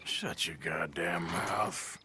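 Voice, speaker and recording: An actor playing a gruff, dry-humored American outlaw gunman in a video game, with a laconic, sardonic drawl. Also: low-pitched, takes it slowly. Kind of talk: video game dramatic acting. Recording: noticeable background noise.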